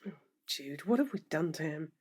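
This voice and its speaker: A woman's voice: deep voice, animated, moderate pace, a little mellow, husky. An actress playing an anxious professional woman in her forties who gets worked up easily, in a naturalistic audio drama. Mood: troubled. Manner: emotional, questioning, easily worked up. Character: anxious, wine-drinking middle-aged professional woman, wistful and needling.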